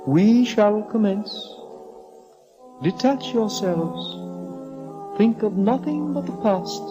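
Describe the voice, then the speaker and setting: Slow, portentous fortune-teller madame. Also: female voice, mid-range voice, animated, dull audio. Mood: solemn, trancelike. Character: solemn theatrical fortune-teller madame leading a seance. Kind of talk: 1940s radio acting. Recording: old radio recording with music.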